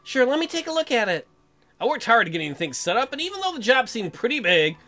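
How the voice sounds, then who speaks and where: A man's voice: extremely high pitch, very animated, fast, pushed and bright, faint music behind, heavily acted. A man voicing an earnest, inquisitive young woman, a newly married colony mechanic in a video game.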